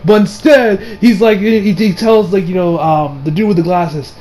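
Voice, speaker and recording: A young man giving a casual, rambling movie review as an excitable, slangy fan who trails off into asides. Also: high-pitched, moderate pace, rough recording. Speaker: video reviewer. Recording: music behind the voice. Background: music.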